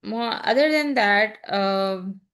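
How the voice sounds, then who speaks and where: Slow-talking woman teaching code. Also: mid-range voice, a bit bright, clear, resonant tone. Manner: unhurried, thinking aloud with fillers and restarts. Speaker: programming instructor. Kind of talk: screen-recorded coding tutorial.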